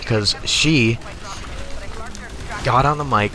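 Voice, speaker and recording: A man's voice: medium pitch, drawn-out words. A young American man telling casual comic stories over gameplay in a profane, exasperated, conversational way. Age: young adult. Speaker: gaming video creator. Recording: noticeable background noise.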